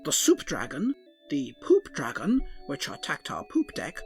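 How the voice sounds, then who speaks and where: A man's voice: fairly high pitch, wildly swooping pitch, a little slow, soft background music, heavily acted. A man voicing a fussy, earnest male ship's doctor who speaks formally in an odd, blended put-on accent, for a comic audio drama.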